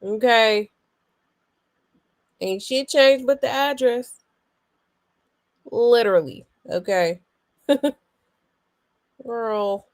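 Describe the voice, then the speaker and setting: A woman's voice: fairly high pitch, extremely slow, long pauses, no room sound. A woman doing reaction commentary as a gossip commentator, sassy, mocking and emphatic with lots of slang.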